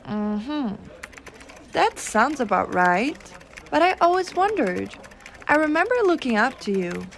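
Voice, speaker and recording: A high, very expressive female voice for a giantess secretary, acted by a woman in an ASMR roleplay. She is gentle and doting, coaxing the listener with soft reassurance and a teasing, quietly dominant edge. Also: heavily acted. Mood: gently inquisitive.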